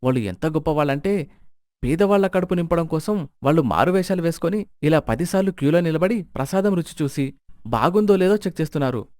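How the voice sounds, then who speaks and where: A man's voice: a little high, expressive, fairly quick, darkish tone, dry recording, voice pushed up, lightly acted. A man voicing a sly village beggar in a moral story, theatrical and sarcastic, full of mock pity. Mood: mock awe. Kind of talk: Telugu moral-story character acting.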